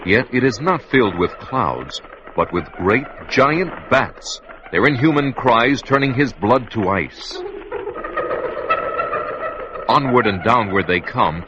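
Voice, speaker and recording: A man narrating a vintage radio adventure drama in a measured, dramatic way, on a muffled, hissy recording. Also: mid-range voice, lively intonation.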